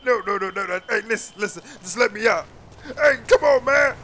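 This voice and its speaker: A man playing a captured young gang member who begs and yells in a frantic voice. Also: high voice, expressive, brisk, a little hiss or hum. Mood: panicked. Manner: pleading, frantic, yelling.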